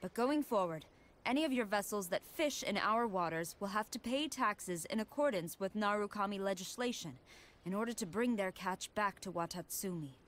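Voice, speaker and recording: A woman playing a stern, formal military commander in a video game, speaking with measured authority. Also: mid-range voice, animated, natural voice. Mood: stern, businesslike. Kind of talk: scripted video game dialogue.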